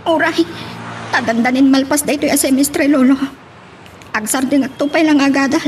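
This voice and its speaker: A woman's voice: sits high, moderate pace, darkish tone, a little hiss or hum, natural voice. A woman playing a distressed, resentful young female student in a radio drama, emotional and plaintive, with a Filipino accent. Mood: hurt, pleading.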